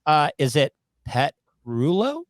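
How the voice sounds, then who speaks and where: A man in his forties casually interviewing as a podcast host, rambling and halting, close on a studio microphone. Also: a little high, sing-song, dry recording. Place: small home studio with acoustic foam. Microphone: close studio microphone on a boom arm.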